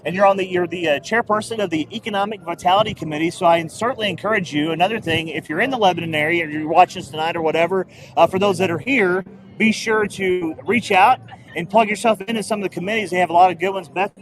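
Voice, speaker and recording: A man's voice: high-pitched, quick pace, a crowd in the background, low-quality recording. A man hosting a live street broadcast outdoors on a handheld mic, rambling and joking. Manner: rambling, upbeat, jokey. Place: outdoors at a loud street festival. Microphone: handheld microphone.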